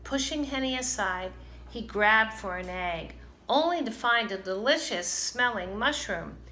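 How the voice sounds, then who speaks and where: A woman reads a children's story with animated expression. Her voice is bright and takes its time. It sounds like an echoey room with faint noise. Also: a little high, measured pace. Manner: animated, dramatizes characters' lines. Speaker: storybook reader. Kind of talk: expressive children's read-aloud. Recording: roomy, echoing, some background noise.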